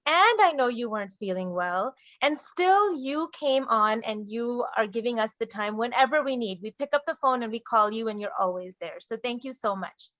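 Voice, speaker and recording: A woman's voice: a little high, animated, moderate pace, hardly any pauses, fairly bright tone, dry recording, muffled recording. A woman moderating an online panel, introducing guest speakers in a formal but friendly way over a video call. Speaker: moderator and case management director.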